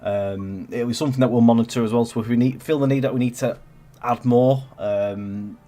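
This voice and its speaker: Man, congested from a cold, casual stream host, rambling with fillers, mid-pitched. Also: fairly even intonation, brisk, dark tone, soft background music. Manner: rambling, chatty, with many fillers and restarts. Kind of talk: casual gameplay livestream chat.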